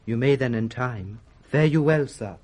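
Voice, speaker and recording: An actor playing a gossiping British courtier bearing news in a 1960s radio Shakespeare drama. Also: male voice, medium pitch, measured pace, a little mellow, a little hiss or hum, natural voice. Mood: confiding.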